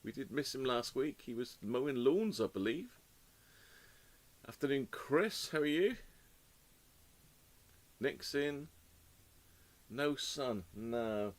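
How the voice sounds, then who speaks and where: Chatty man, hoarse with a cold. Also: mid-range voice, lively intonation, takes it slowly, pauses often. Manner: chatty, rambling, greets viewers by name. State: slightly hoarse with a cold and cough. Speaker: host of a live radio repair stream. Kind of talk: casual live-stream chat greeting viewers. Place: home workshop. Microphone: desk microphone.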